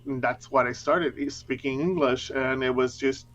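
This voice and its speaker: A man's voice: medium pitch, fairly even intonation, a little slow, a bit bright, highs cut off, faint music behind. A man guesting on a casual livestream chat, laughing easily and rambling through his stories. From Mexico. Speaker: guest tarot reader.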